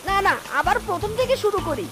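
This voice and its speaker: A man's voice: very high-pitched, lively intonation, quick pace. A man voicing a sly, boastful young fox thief in a cartoon, talking cheekily to himself. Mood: scheming. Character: sly thieving young fox trickster, male. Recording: cartoon voice over loud music.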